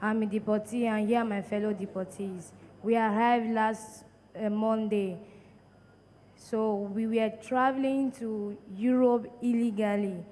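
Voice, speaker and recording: A young Nigerian woman with a Nigerian accent giving a plain, steady personal testimony in a large church hall. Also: fairly high pitch, a little slow. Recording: echoey hall with faint room noise.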